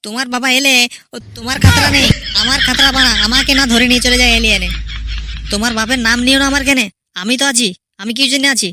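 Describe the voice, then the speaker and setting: Guy doing squeaky high young village husband, cranky n sweary, cartoon, really noisy audio. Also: steady tone, fast. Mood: exasperated. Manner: scolding, crude, exasperated. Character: excitable, foul-mouthed, irritable young village husband. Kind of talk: amateur comedy cartoon dubbing.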